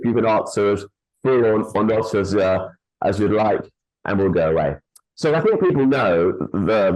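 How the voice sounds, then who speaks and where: A man with a somewhat low voice hosts a formal online interview from a video call. He speaks in a measured way and often pauses with hesitant fillers as he introduces and questions his guest. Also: a little mellow, poor audio. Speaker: host and chief executive.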